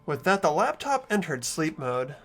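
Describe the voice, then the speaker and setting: A man's voice: on the high side, very animated, normal speed, fairly bright tone, soft background music, exaggerated voice. A man voicing an earnest, thoughtful teenage hero, narrating and speaking in amateur game voice acting. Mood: solemn narration.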